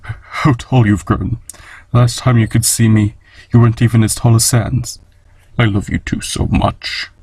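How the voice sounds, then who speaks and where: A man playing a gentle, solemn monster scientist and father in a fan comic dub, speaking formally and with measured care in a gravelly voice. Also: level tone, no room sound. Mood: tender, grave. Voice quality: low, gravelly, husky.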